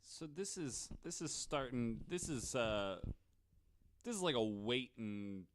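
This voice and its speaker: A man's voice: medium pitch, expressive, slow, slight background noise. A man co-hosting a podcast, reacting to the story with jokes and asides. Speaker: podcast co-host. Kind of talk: casual chat.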